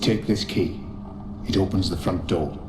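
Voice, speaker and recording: A man's voice: deep voice, fairly even intonation, measured pace, noisy background. A man playing a sardonic, cold spirit servant in a video game, speaking tersely with dry detachment. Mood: cold, detached. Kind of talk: video game character dialogue. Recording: echoing reverb, game music behind.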